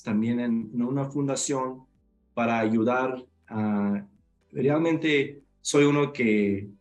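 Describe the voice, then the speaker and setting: Hesitant Mexican man, upbringing. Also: mid-range voice. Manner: hesitant, many restarts and fillers. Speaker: guest. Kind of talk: video call interview.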